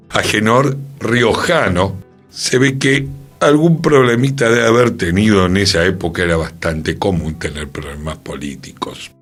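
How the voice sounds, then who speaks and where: An Argentine man presents a radio column in a conversational way. His pitch moves in a lively range while he takes his time over the words. Soft music plays faintly underneath him. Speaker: radio show co-host and columnist. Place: radio studio.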